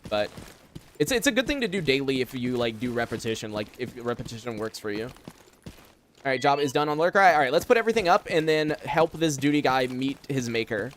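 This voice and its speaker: Young male streamer, mid-pitched, chatty and rambling, faint background hiss. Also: very animated, brisk, a bit bright. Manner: rambling, filler-heavy, chatty. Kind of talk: casual live gameplay chat. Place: room at home.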